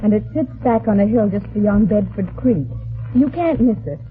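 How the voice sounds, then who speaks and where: A woman's voice: medium pitch, expressive, moderate pace, a little hiss or hum, close to an everyday voice. An actress playing a helpful, forthcoming woman secretary in an old-time radio drama. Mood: helpful, informative. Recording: tinny band-limited old radio recording.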